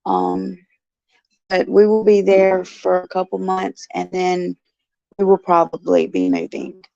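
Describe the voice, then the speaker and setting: A woman hosting her show with a raspy, gruff voice, chatting in a folksy way and answering viewers by name from a care facility. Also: fairly low pitch, a little slow, warm tone, no echo. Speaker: show host. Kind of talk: casual chat, answering viewers. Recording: dull lo-fi, dry sound.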